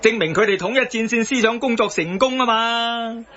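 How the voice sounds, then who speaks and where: Sardonic hong kong cantonese guy explaining stuff in radio satire, high voice, bit dull broadcast. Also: normal speed, sharp tone. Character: sardonic man explaining political manoeuvres. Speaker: radio drama actor. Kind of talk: satirical radio drama acting.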